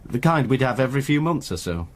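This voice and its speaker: A male actor creates a courteous, slightly fussy accountant for radio drama. He hedges and apologises in a mid-pitched voice with very lively intonation. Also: fast, natural voice. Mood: evasive, fussy. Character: polite, evasive male professional accountant. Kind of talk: naturalistic radio drama acting.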